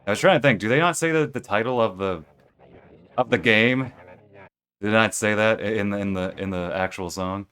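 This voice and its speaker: Young guy with a deep voice rambling through a playthrough, correcting himself a lot. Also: normal speed, fairly bright tone, smooth. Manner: rambling, self-correcting, thinking aloud. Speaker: streamer playing a video game. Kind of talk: casual let's play commentary.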